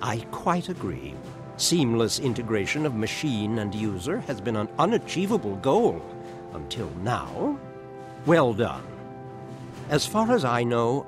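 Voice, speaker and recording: Video game councillor, small creature, pompous and formal, unhurried, wildly swooping pitch, music behind. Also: male voice. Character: pompous, irritable asura council judge, small goblin-like inventor male. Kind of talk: video game cutscene acting.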